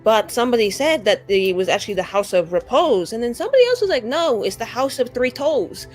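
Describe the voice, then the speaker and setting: A woman's voice: a little high, sing-song, brisk. A woman improvises in character during a tabletop game. Her talk is casual and gossipy, packed with "like" and acted-out asides. Faint music plays behind her. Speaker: tabletop roleplaying player.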